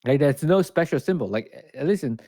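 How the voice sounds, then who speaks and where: A man's voice: a little high, animated, brisk, dark tone, no echo, clean studio sound. A young man from Malaysia with a Malaysian accent, a podcast producer chatting playfully and filling his speech with "like". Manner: playful, chatty, many fillers. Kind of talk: casual podcast chat.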